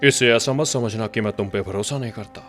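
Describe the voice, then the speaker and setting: A man voicing a stern, protective older warrior father in a game dub, gravelly and measured. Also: on the low side, fairly bright tone, soft background music, natural voice. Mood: calm. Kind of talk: Hindi game dub acting.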